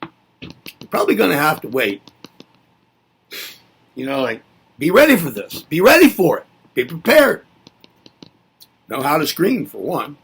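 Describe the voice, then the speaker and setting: A man's voice: a little high, huge pitch swings, a little slow, gravelly, pauses often, a bit bright, husky. A man giving a reflective spoken lesson, musing aloud and asking himself rhetorical questions before answering them. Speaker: presenter.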